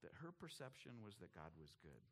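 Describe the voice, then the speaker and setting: A middle-aged man preaching, his voice rising and falling in lively swings. He drops to a near hush for weight, then pushes up loud and urgent to drive a point home, always in the rhythm of the pulpit. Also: on the low side, moderate pace. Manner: animated preaching, swings from hushed to emphatic. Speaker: pastor. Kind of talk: sermon.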